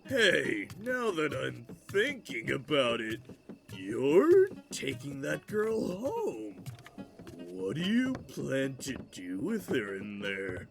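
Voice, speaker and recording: A man playing a nosy, dim, whiny villager in a fantasy audio drama, hesitant and wheedling, with a creaky voice. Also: mid-range voice, wildly swooping pitch, fairly bright tone, faint music behind, exaggerated voice. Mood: nosy, pestering. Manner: hesitant, drawn-out, wheedling and defensive.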